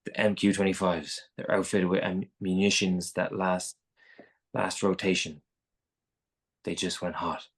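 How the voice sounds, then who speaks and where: An actor playing a brusque, exasperated Navy admiral with a gravelly, husky voice, giving halting, clipped orders in a screenplay table read. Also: sits low, flat delivery, heavily acted. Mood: tense, deferential.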